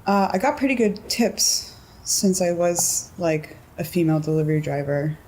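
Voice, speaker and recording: Low-voiced young woman, easygoing chat. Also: normal speed, a little hiss or hum, rough recording. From California. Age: 20s. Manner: relaxed, giggly, lots of "like" and "um" fillers. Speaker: interviewee. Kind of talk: casual interview.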